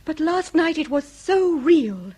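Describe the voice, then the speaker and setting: A woman playing a delicate, high-flown aristocratic lady in a period comedy radio drama, phrasing everything dramatically. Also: sing-song, slow pace, darkish tone, full character voice. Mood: troubled.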